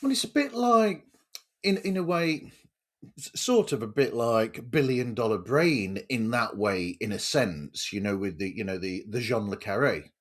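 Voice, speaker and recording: A male podcast host in a casual interview. He takes his time, speaking at a slow pace and feeling his way through phrases with some hesitation. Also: medium pitch, expressive, a bit bright.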